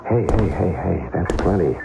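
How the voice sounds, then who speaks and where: An actor playing a wary, blunt accomplice in a murder plot, with clipped replies, in an old radio drama. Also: male voice, low-pitched, lively intonation, normal speed, creaky, music in the background. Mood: nervous. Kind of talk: 1970s radio drama acting. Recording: hissy old radio broadcast.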